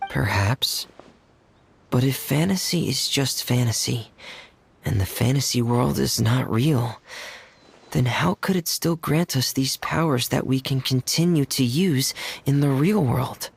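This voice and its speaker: A man playing a gentle, earnest teenage boy in a video game, soft-spoken and measured. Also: medium pitch, gravelly, husky. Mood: reflective.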